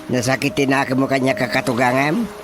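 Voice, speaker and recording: A man playing a grumbling, excitable older village father in a radio comedy drama, exclamatory and scolding, with an Ilocano Filipino accent. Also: medium pitch, fast talker, close to an everyday voice. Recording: radio drama with music underneath.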